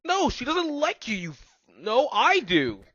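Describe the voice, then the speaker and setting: Guy putting on a jealous teen boy in a story, whiny shouting n swearing, higher pitch, dull recording. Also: wildly swooping pitch, unhurried, dry recording, heavily acted. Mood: furious jealous. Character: hot-headed jealous teenage boys, quarrelling.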